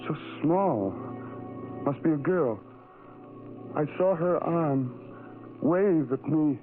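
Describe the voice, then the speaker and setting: Brooding tormented loner in an old radio drama, repeats himself, haunted confession, thin tinny sound, bit of music. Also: male voice, on the high side. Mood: brooding, wary. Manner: brooding, halting, repetitive, intense confessional delivery. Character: lonely, tormented, self-loathing man who hides from people. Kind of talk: 1970s radio horror drama monologue.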